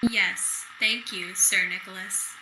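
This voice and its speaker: A friendly young girl's mother played by a woman, mid-pitched and lightly teasing. The radio drama scene is roomy, with clear ambient noise. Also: fairly even intonation, slow pace.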